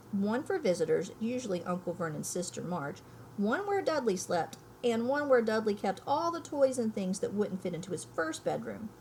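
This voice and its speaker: A woman's voice: medium pitch, darkish tone, slight background noise, low-quality recording. A woman teacher giving a read-aloud lesson, speaking emphatically and thinking aloud for her young listeners. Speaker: elementary school teacher. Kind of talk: read-aloud lesson, storybook reading and explaining.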